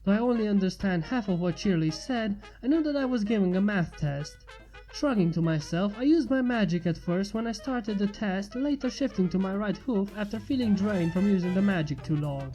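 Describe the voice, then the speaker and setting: A woman's voice: medium pitch, animated, moderate pace, warm tone, rough recording. A woman reading a first-person fan fiction story aloud as its narrator. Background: faint music.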